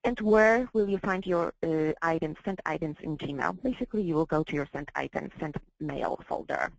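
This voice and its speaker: Low, creaky-voiced woman leading software training. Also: normal speed, no echo, poor audio. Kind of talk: slide-based training walkthrough.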